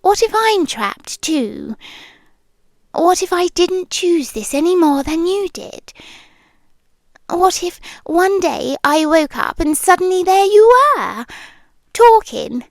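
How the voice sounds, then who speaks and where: Woman acting as a possessive yandere girlfriend, British accent, high-pitched, teasing, softly menacing, close dry ASMR sound. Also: big pitch swings, no room sound, exaggerated voice. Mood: teasing, intense. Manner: slow, teasing, softly menacing, with lingering pauses. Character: obsessive possessive yandere girlfriend, a menacingly affectionate voice in your head. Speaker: ASMR roleplay performer. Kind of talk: ASMR roleplay, intimate close speech slipping into whispers. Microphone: close microphone, dry sound.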